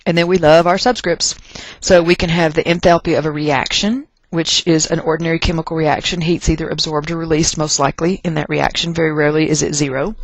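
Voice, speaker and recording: An American teacher from Texas with a mid-pitched voice gives a chemistry lecture in a folksy, conversational way. Key points get deliberate stress and repetition, with joking asides along the way. The sound is dry, with almost no room echo. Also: little pitch movement. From Texas, United States. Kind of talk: lecture narrated over class notes.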